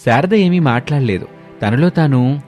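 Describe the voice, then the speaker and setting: A man's voice: medium pitch, animated, normal speed, darkish tone, faint music behind. A man narrating a scripted moral family story as a storyteller.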